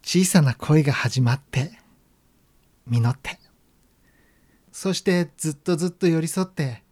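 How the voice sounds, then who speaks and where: Man acting a slow, reflective grieving son. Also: on the high side, a little mellow. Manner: measured, sentimental, pausing. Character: grieving grown son, gentle, earnest, reflective. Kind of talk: radio drama narration.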